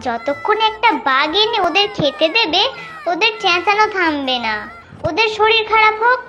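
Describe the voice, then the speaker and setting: A woman's voice: extremely high pitch, expressive, normal speed, bright, forceful tone, soft background music, heavily acted. A woman voicing a bossy, scolding mother fox, protective of her cubs, in a children's fairy-tale cartoon. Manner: nagging, demanding.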